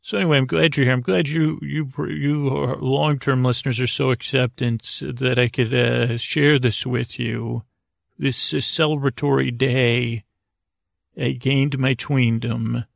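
A man hosting a bedtime podcast in a rambling monologue, meandering and halting as he interrupts himself and repeats words. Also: medium pitch, fairly even intonation, gravelly, no echo, clean studio sound. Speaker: podcast host. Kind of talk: rambling bedtime monologue to help listeners sleep.

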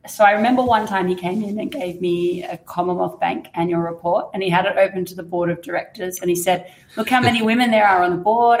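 Hoarse, gravelly Australian woman. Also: medium pitch, roomy sound, low-quality recording. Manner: chatty, laughs often, self-deprecating. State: croaky, hoarse voice. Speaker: fund manager guest. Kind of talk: casual interview chat.

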